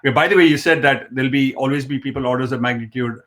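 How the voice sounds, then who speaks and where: A man hosts an interview in a friendly, affirming way. He thinks out loud as he builds his questions, with a few fillers along the way. His voice sits at an ordinary middle pitch. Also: fast talker. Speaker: host. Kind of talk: interview conversation.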